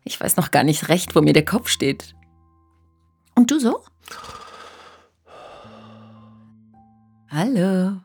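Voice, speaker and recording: An actress playing a chatty, scatterbrained narrator in an audio play, musing and drifting into playful asides. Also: female voice, on the low side, big pitch swings, a little slow, a little mellow, slightly rough, soft background music, exaggerated voice. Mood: dazed. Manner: musing, hesitant, wandering off into asides. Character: chatty, scatterbrained woman narrator, playfully straying from her script. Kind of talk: scripted audio play for a hiking trail, conversational.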